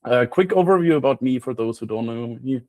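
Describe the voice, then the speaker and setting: A man's voice: mid-range voice, expressive, brisk, a little mellow, dry recording. A man with an Austrian accent giving an informal slide talk in a wry, self-deprecating way. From Graz, Austria. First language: German. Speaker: guest speaker, freelance copywriter.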